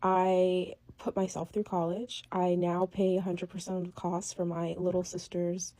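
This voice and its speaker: A woman in her twenties speaking to camera in a steady, matter-of-fact way. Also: on the low side, moderate pace, no echo. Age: 20s. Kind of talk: direct-to-camera vlog.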